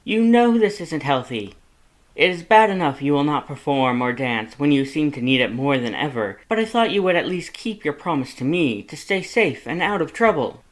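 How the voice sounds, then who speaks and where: A man voicing a loud, fussy skeleton who is the younger brother, scolding emphatically in a fan fiction audiobook reading. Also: a little high, expressive, moderate pace, pitched above the performer's own voice, lightly acted. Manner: scolding, emphatic, rising indignation.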